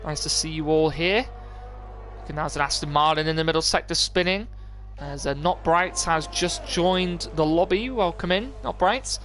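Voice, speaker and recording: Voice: mid-range voice, normal speed, fairly bright tone, soft background music. A commentator giving live play-by-play of an online motor race on a stream. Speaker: esports racing commentator.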